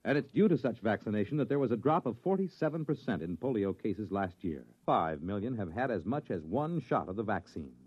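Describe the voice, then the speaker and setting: A man announcing on 1950s radio, reading a scripted message in a slightly husky voice. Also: fairly low pitch, sing-song, a little fast, hardly any pauses, no room sound. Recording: dull, dry vintage radio recording.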